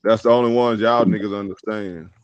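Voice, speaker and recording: A man's voice: lowish voice, fairly even intonation, fairly quick, dull audio. A man co-hosting a casual chat in a laid-back way, sometimes reading passages aloud. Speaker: podcast co-host.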